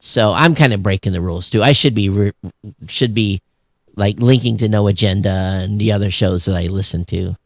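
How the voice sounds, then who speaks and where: Low-voiced man, dry close sound. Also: moderate pace, vocal fry, no echo. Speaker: podcast co-host. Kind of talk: casual industry discussion.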